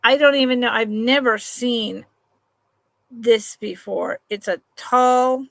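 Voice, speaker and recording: Slow, relaxed woman hosting a live sale. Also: fairly high pitch, gravelly, dry recording. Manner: chatty, unhurried, trails off and restarts sentences; affectionate to viewers, calls them honey and sweetheart. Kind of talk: slow, relaxed live-stream sales chat.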